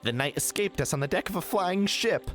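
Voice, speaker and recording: Man acting a higher-voiced knight captain over music. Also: expressive, brisk. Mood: urgent, reporting. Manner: formal military report. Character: dutiful male dark knight captain, grim and scornful. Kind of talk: fantasy audio drama acting. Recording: loud music under the voice.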